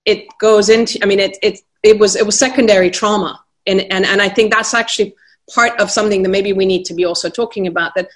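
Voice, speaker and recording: A woman's voice: mid-range voice, steady tone, fast. A woman moderating a panel discussion, talking with many hesitant restarts.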